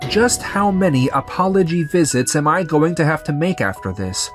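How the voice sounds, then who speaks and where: A man's voice: a little high, lively intonation, normal speed, close to an everyday voice. Haughty male company boss, manga dub, voiced by a man: evasive, defensive, faint music behind. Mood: dismayed. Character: proud, defensive male company president, evasive under pressure. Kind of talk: scripted manga dub acting.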